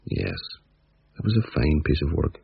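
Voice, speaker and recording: Deep gravelly male storyteller. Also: huge pitch swings, unhurried, dull audio. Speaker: narrator. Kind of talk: storybook narration.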